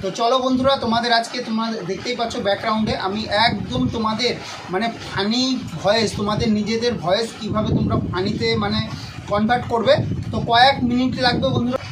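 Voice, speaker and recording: Fast, high-pitched man giving a tutorial. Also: steady tone, background noise. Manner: repetitive direct address to viewers. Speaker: tutorial channel host. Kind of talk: scripted-sounding app tutorial narration.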